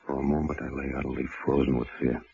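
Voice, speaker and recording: An actor playing an anxious, guilt-haunted ex-convict in a radio suspense drama, halting and brooding as he tells his story. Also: flat delivery, creaky, dark tone, husky, exaggerated voice. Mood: hushed dread. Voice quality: low-pitched, hesitant voice. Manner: nervous, halting, stammering, brooding. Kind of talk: old-time radio suspense acting. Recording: muffled vintage radio recording with music.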